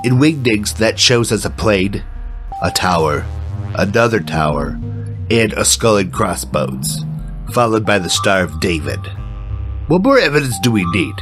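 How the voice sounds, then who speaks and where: An actor playing a grave, paranoid conspiracy show host in a scripted comedy podcast. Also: male voice, lowish voice, very animated, measured pace, music in the background. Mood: conspiratorial.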